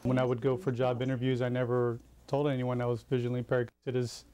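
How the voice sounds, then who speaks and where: A man's voice: medium pitch, completely flat delivery, fast talker, very noisy. A man speaking informally and reflectively as an interviewee. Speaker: interviewee, human resources manager.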